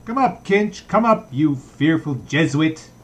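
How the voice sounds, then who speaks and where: A man's voice: a little high, animated, unhurried, pitched above the performer's own voice. A man reading a story aloud, voicing a brash, mocking, jovial young man in a theatrical, teasing way. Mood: loud mock-solemn calling. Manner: declaiming, mock-solemn, teasing.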